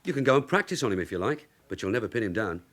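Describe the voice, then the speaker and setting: An actor playing a shrewd veteran London detective sergeant in a radio drama, dry, knowing and informal. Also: male voice, lowish voice, very animated, very fast, a bit bright. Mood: wry, knowing. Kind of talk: British radio drama acting.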